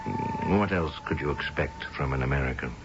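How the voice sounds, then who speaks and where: An actor playing a placating young English lieutenant in a radio drama, sheepish and doting. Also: male voice, a bass voice, wildly swooping pitch, moderate pace, over music, exaggerated voice. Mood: flustered, pleading. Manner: stammering, sheepish, sugary. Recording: dull vintage radio drama recording.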